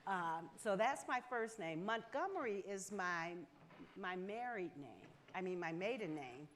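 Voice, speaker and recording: A middle-aged woman, speaking as a guest on a panel in an earnest, measured way with many fillers. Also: medium pitch, sing-song, fairly bright tone, slight background noise. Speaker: foundation leader, guest. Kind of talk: panel conversation.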